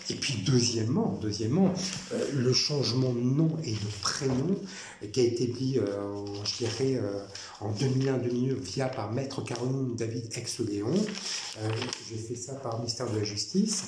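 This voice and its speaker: A man from France talking in a rambling personal monologue, halting, with many fillers and restarts, repeating himself. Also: mid-range voice. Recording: echoey room with faint noise.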